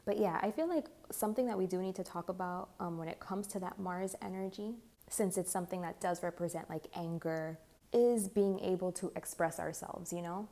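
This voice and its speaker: A young woman, a bit hoarse, giving a rambling spiritual tarot talk full of "like" and "you know". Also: on the low side, fairly quick, mellow. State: slightly hoarse. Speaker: tarot reader. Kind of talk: channeled spiritual monologue.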